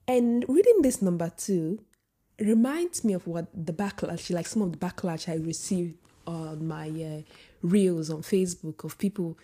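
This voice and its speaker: A woman with a husky voice giving an opinionated vlog monologue, often restarting sentences and rising into emphatic outbursts. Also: lowish voice, mellow. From Sweden. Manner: many restarts and filler words. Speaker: vlogger. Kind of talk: casual opinion monologue to camera.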